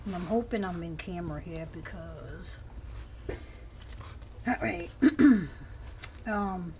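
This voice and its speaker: A woman's voice: lowish voice, lively intonation, slow pace, a little mellow. A woman with a scratchy voice, chatting casually as she shows off each page of a handmade journal at home. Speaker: crafter showing a handmade journal. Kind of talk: casual show-and-tell.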